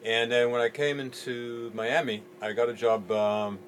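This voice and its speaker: A middle-aged man from England, raised in Jamaica, giving relaxed interview answers in an easygoing, hesitant way. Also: medium pitch, measured pace, slight background noise. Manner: easygoing, hesitant with many ums. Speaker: music producer guest.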